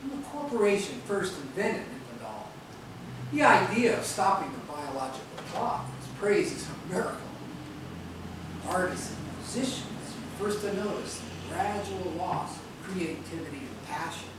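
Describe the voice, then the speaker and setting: Seasoned rebel mentor male stage actor, earnest and high-pitched, distant noisy videotape. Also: expressive, moderate pace, heavy reverb. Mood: earnest, reflective. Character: seasoned rebel elder and parent, steadfast, idealistic mentor. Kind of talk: stage play acting. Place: theatre stage, recorded from a distance.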